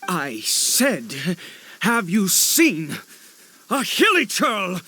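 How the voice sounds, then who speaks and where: An actor playing a frantic, fanatical father in a video game, speaking in a halting, stammering, theatrical way. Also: high voice, huge pitch swings, pushed and bright, slightly rough, exaggerated voice. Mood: tense pleading. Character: frantic, fanatical father searching for his missing son. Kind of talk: dramatic video game voice acting.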